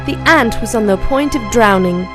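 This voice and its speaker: A woman's voice: on the high side, lively intonation, normal speed, music in the background. A woman reading a scripted fable for children as a storyteller.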